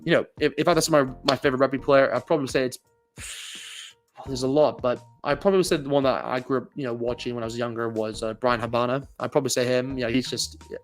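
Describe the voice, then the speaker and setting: A young man talking casually to camera as a rugby YouTuber, rambling with many fillers and restarts, his accent mixed and hard to place. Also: mid-range voice.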